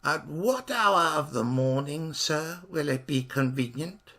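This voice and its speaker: A man voicing a stiff, drunken, ghostly stranger who speaks with slow, solemn dignity. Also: a little high, expressive, pushed and bright, voice pushed up, full character voice. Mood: stiffly solemn. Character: stiff, gin-soaked ghostly male stranger.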